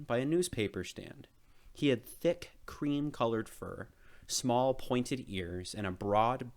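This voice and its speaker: A man reading a children's picture book aloud as an expressive storyteller, with measured phrasing and emphasized words. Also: mid-range voice.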